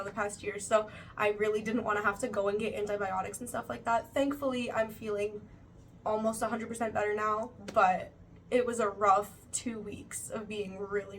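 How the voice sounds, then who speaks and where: A young woman chatting casually in a monthly recap vlog, full of "like" and "you know", with a slightly stuffy nose. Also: medium pitch. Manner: chatty, uses many fillers like "like" and "you know". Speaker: vlogger. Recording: voice a bit far from the mic, faint background noise.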